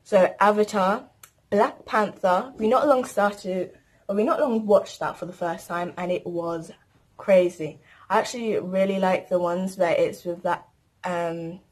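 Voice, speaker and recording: A woman's voice: medium pitch, steady tone, measured pace. A teenage girl chatting casually to camera, rambling through a list of facts about herself. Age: teens. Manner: chatty, rambling, lists things. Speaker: vlogger.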